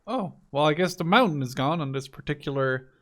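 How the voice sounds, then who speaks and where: A man's voice: fairly high pitch, lively intonation, brisk. A man in his twenties from the Netherlands giving informal, sweary gameplay commentary, thinking aloud as he plays. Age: 20s. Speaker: gaming video creator.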